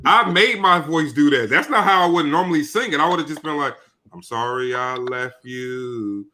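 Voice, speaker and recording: A man's voice: a little high, animated, moderate pace, pushed and bright, slight background noise. A young man co-hosting a podcast, talking loosely with slang and lots of restarts. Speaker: podcast co-host. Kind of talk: casual chat.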